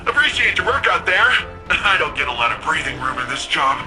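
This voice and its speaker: An actor playing an upbeat, cocky young guardian of a futuristic city, slangy and playful, in video game dialogue. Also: male voice, fairly high pitch, big pitch swings, fairly quick, music in the background, low-quality recording. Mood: excited, friendly.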